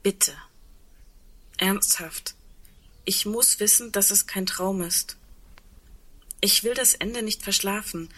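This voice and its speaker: Hopeful intense woman in a german radio play, bright edgy voice, keeps pushing, intimate. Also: mid-range voice, slow, long pauses. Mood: urgent, pleading. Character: earnest, insistent woman clinging to hope before the end.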